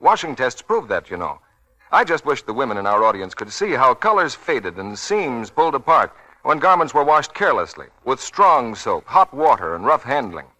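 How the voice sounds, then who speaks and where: An American man working as a radio announcer, giving a polished, scripted 1940s sales pitch. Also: sing-song, moderate pace, bright, forceful tone, poor audio.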